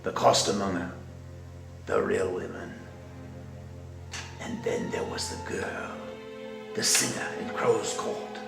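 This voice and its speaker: An actor playing the composed, menacing leader of a secret murderous society, speaking in formal, measured oratory in a film drama. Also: male voice, low voice, vocal fry, roomy sound, music in the background, full character voice. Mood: solemn, menacing.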